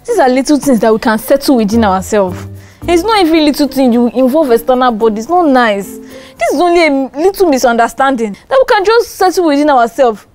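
Woman as a reasonable neighbor; high, coaxing, soft music. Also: very animated, fairly quick.

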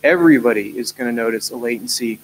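Conference speaker, New Zealand man in his thirties, explanatory and steady, mid-pitched, faint hum behind. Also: quick pace, low-quality recording. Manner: clear, explanatory, steady with frequent "uh" fillers. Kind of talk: technical conference presentation. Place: large conference hall, at a lectern.

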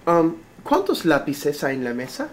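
A man teaching Spanish, patiently quizzing a student and gently correcting her answers in a small school room. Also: fairly high pitch, wildly swooping pitch, a little slow. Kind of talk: oral exam questioning.

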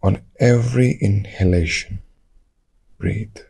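A man leading a guided meditation, giving calm, measured instructions in short phrases with long pauses. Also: on the low side, vocal fry, husky.

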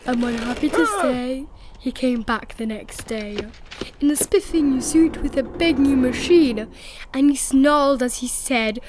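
High-voiced woman reading a storybook, unhurried. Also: noisy background. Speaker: picture book narrator. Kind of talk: expressive read-aloud of rhyming storybook. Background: music.

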